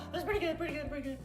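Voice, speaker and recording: A performer voicing an excitable, giggly little girl puppet who hosts a kids' show, gushing and repeating exclamations. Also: extremely high pitch. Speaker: puppet show host for kids. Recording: roomy echo, faint music.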